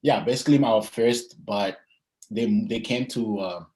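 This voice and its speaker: A man's voice: lowish voice, a little mellow, rough recording. A young man, chatting casually and hesitantly as an athlete being interviewed. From Zimbabwe and South Africa. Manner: hesitant, frequent pauses and "you know".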